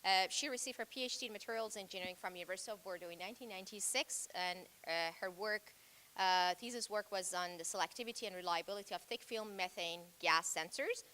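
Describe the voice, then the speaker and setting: A woman's voice: medium pitch, fast, sharp tone. A woman hosting a lecture, politely introducing the guest speaker with some fillers. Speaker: lecture host.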